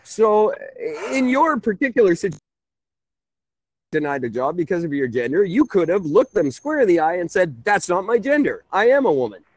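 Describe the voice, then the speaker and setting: A man hosting a conversational call-in radio show, halting and often interrupting himself. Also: high voice, big pitch swings, no room sound, poor audio. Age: 30s.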